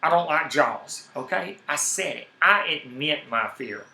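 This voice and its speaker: A man with a heavy Southern accent chatting casually on his live stream, rambling and animated, repeating himself when worked up. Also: a little high, measured pace, vocal fry, echoey room. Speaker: YouTube streamer.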